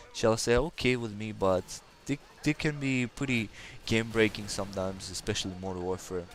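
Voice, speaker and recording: A man's voice: fairly low pitch, slight background noise. A man from Kazakhstan, a native Russian speaker with a slight Russian accent, giving rambling, hesitant gameplay commentary full of fillers. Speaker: gaming channel host.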